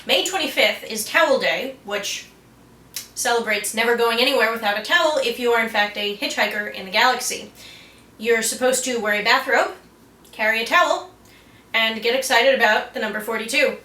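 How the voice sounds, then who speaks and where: A woman's voice: on the high side, normal speed, pushed and bright. A woman co-hosting a geek culture explainer, presenting scripted material. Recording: echoey room with faint noise.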